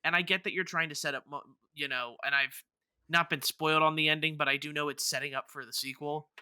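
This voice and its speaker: A man's voice: fairly high pitch, steady tone, fast, bright, forceful tone, clear, resonant tone, no room sound, studio quality. A man co-hosting a gaming podcast, chatting casually and circling back over his words as he talks. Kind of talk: casual chat about video games.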